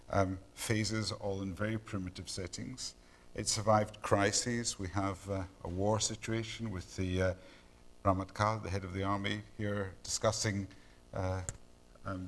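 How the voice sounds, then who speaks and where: A man's voice: fairly low pitch, a bit bright. Scottish man giving an academic lecture. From Scotland. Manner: measured, many ums and uhs.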